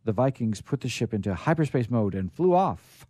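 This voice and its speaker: Male radio host, storyteller, lowish pitch, very animated intonation, halting, theatrical, close dry sound. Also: dry recording. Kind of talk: informal radio storytelling, reading aloud.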